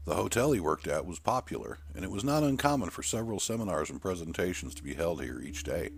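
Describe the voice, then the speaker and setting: A man's voice: deep voice, very animated, quick pace, gravelly, a little hiss or hum. A man reading a scripted horror short story as its narrator.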